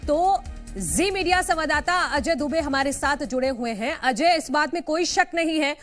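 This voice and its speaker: Female news anchor, distinctly high voice, faint music underneath. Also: animated, moderate pace, fairly bright tone. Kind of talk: news presenting.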